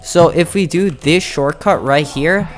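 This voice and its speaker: Gamer doing a casual tutorial, mid pitched voice, kinda halting and thinking out loud, music playing behind. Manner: informal, halting, thinking aloud. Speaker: game guide video host. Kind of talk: casual gameplay tutorial commentary.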